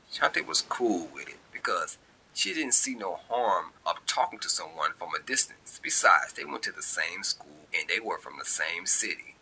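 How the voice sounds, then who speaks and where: A man reading a short story as its narrator, in an expressive, colloquial storytelling style. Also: deep voice, husky, rough recording. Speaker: author and narrator.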